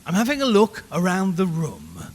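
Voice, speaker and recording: A man's voice: sits high, huge pitch swings, moderate pace. A man playing an uneasy, chatty radio reporter who talks wryly to listeners from a haunted house in a horror audio drama. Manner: conversational, wry, addressing listeners. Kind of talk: live radio broadcast horror drama acting. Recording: long reverb, background hiss and ambience.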